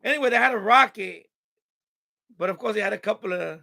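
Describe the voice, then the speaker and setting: A male live-stream host with a noticeably high voice that often breaks into creak. He talks informally and without much filter, rambling as he answers his chat. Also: a little fast, bright, forceful tone. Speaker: livestream host. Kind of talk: casual live-stream chat, reading and answering viewer comments.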